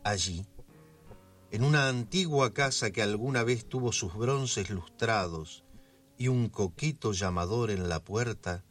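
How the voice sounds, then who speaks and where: An actor playing an intimate neighborhood storyteller, narrating a scripted radio drama in an unhurried way with a Buenos Aires accent. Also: male voice, on the low side, a bit bright, faint music behind. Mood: storytelling. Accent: Argentine, Buenos Aires.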